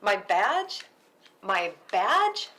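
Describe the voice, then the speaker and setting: A woman's voice: mid-range voice, sing-song, very slow, bright, forceful tone, heavily acted. A woman playing a cocky, defiant, foul-mouthed vigilante at a film script table read, in a noisy live recording. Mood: menacing. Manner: cocky, flippant, sweary.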